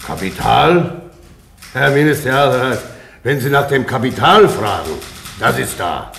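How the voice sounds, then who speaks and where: An actor playing a resolute, earnest peasant father in a German radio drama, speaking deliberately and emphatically. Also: medium pitch, sing-song, vocal fry, a bit bright, exaggerated voice. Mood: proud, insistent. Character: resolute, unbowed older German peasant father, refugee spokesman. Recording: noisy radio play with background ambience.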